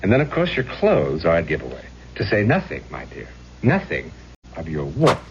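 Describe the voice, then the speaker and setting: Suave mysterious old-fashioned gent, radio drama, real animated delivery, muffled noisy old tape. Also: male voice, low-pitched, moderate pace. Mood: suave, confident. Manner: old-fashioned, genteel, theatrical phrasing. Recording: dull, noisy old radio recording with hum.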